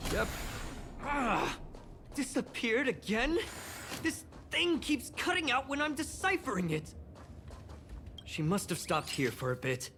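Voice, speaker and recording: A woman voicing an excitable, anxious young space station researcher in a game, expressive and full of sighs and exclamations. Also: on the high side, takes it slowly, long pauses, a little hiss or hum, full character voice. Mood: curious, exasperated. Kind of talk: game dialogue acting.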